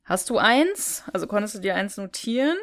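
A woman's voice: mid-range voice, big pitch swings, fairly quick, no room sound, studio quality. A woman co-hosting a podcast, talking casually and colloquially as she thinks aloud about an audio drama. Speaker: podcast co-host.

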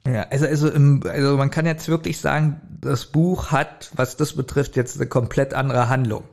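A man's voice: medium pitch, steady tone, normal speed, gravelly, a little mellow. A man co-hosting a podcast, casually commenting and thinking aloud in loose phrases. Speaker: podcast co-host. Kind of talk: casual chat, commenting on an audio drama.

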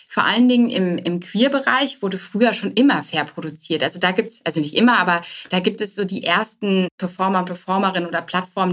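A woman's voice: lowish voice, fairly bright tone. A woman giving casual interview answers as a start-up founder, informal and hesitant, with English business words mixed in.

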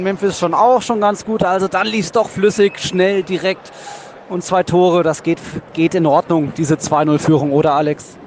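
A man hosting a football podcast, giving casual live impressions from the stands of a stadium. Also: high voice. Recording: noisy stadium, loud crowd behind. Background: crowd noise and whistling.

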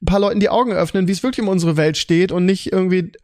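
A man's voice: high voice, rapid-fire, darkish tone. A man hosting a casual podcast chat. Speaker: podcast host.

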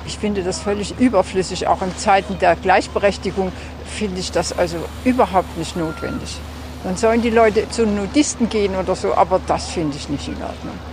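A man's voice: sits high, fairly quick. A man giving his opinion off the cuff in an outdoor street interview. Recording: noisy outdoor location recording.

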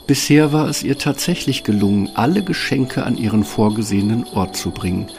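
A man's voice: medium pitch, brisk, gravelly, over music. A man narrating a fairy tale from a script as the storyteller of a radio play.

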